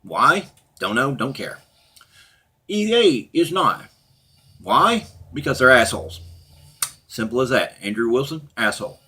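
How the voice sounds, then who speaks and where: A middle-aged man with a husky voice, talking casually and off the cuff as a blunt, profane, opinionated gaming channel host. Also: medium pitch, very animated, unhurried, long pauses, bright, forceful tone. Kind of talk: casual unscripted rant and commentary to viewers.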